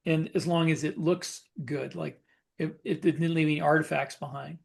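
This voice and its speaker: A man chatting casually as a podcast co-host, explaining things in a halting way. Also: a little high, fairly even intonation, vocal fry, slightly rough.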